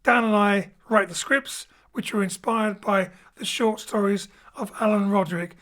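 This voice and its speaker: A man's voice: sits high, steady tone, normal speed, a bit bright. A man speaking as host and director, giving an informal, explanatory introduction from a home studio. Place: home studio, remote link.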